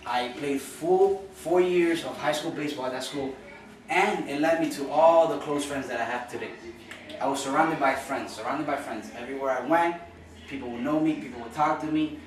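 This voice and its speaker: A young man from Cuba giving an earnest, informal classroom speech as a college student, often repeating and restarting phrases. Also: mid-range voice. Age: young adult. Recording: echoey room from far off with noise.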